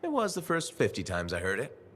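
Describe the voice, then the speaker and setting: An actor playing a dry, sceptical freelance pilot in a video game, terse with deadpan wit. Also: male voice, on the low side, a little fast, heavily acted. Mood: unimpressed. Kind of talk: video game cutscene dialogue.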